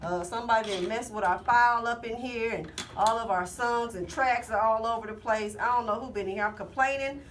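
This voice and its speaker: A woman's voice: fairly high pitch, brisk, few pauses. A woman hosting a casual talk show, teasing her co-host and chatting in loose slang. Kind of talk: casual chat. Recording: distant mic with faint hiss.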